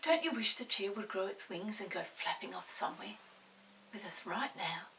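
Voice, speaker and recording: A woman's voice: medium pitch, expressive, moderate pace, bright, forceful tone. A woman voicing an eager, earnest young child in a storybook, exclaiming in a raised voice. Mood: eager, pleading. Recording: distant mic with hiss.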